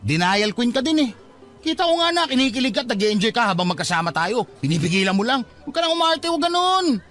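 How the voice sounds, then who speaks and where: An actor playing a persistent, teasing young suitor in a Filipino radio drama, playful and cheeky. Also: male voice, extremely high pitch, fast, full character voice. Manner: teasing, animated.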